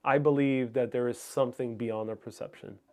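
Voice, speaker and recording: A man whose first language is Spanish talks with his livestream viewers in a slight Spanish accent. His voice sits at an ordinary middle pitch, and he stops and restarts phrases as he searches for words. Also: steady tone, brisk, a little mellow, soft background music. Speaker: livestream host. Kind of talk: casual livestream chat.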